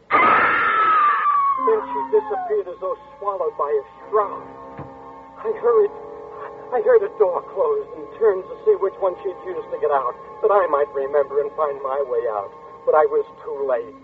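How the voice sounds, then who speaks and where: A nervous, brooding young man who tells his own nightmare in tense first person, his pitch rising and falling widely. The sound is a tinny vintage radio broadcast with music underneath. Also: exaggerated voice. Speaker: narrator and lead character. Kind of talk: 1940s radio acting.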